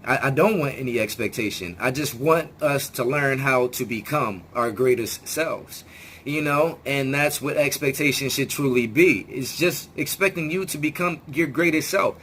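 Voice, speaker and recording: A man talking straight to his viewers in a rambling self-help vlog, often restarting words and swearing casually, his voice still hoarse as it comes back. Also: mid-range voice, moderate pace, a bit bright, slight background noise. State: hoarse, regaining lost voice. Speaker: video channel host.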